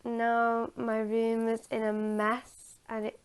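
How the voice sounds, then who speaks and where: A woman retelling a story from memory in a halting, hesitant way, searching for her words. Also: a little high, monotone, clear, resonant tone.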